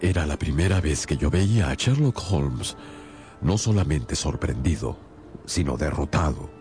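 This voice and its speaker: Un actor que interpreta al leal compañero del detective y narra la historia en una radionovela, con música de fondo. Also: male voice, extremely low pitch, expressive, a little slow, darkish tone, faint music behind. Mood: measured, storytelling. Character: Holmes's loyal companion, male narrator of detective tales. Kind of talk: dramatised radio storytelling.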